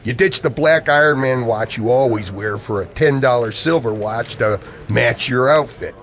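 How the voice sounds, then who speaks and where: A man's voice: medium pitch, normal speed, a bit bright, close to an everyday voice. An actor playing an edgy, secretive man in radio play dialogue, coy and evasive with an old friend. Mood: sly, confident. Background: music.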